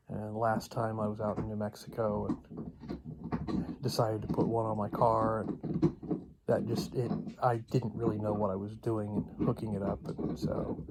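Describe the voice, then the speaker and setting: A man giving a casual, unscripted vlog update in a halting, rambling way with long pauses. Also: lowish voice, very noisy. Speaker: video blogger.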